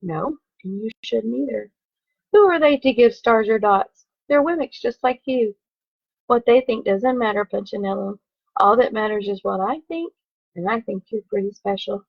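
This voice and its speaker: A woman voicing a gentle, kindly male wood carver who speaks softly, slowly and reassuringly. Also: on the high side, gravelly, slightly rough, dry recording, dull audio. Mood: tender. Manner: soft, slow, reassuring.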